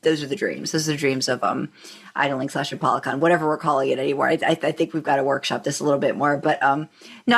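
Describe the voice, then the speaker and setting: A woman with a slightly low-pitched voice chats casually as a podcast co-host. She rambles along in loose, informal fan talk and tends to repeat her phrases as she goes.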